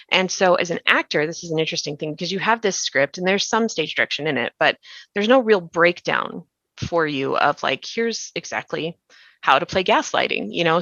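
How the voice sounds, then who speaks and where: A woman's voice: on the low side, a bit bright, no room sound. A woman hosts a podcast, interviewing in a casual, discursive way full of conversational asides. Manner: discursive, peppers speech with "you know". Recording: dull, lo-fi dry audio.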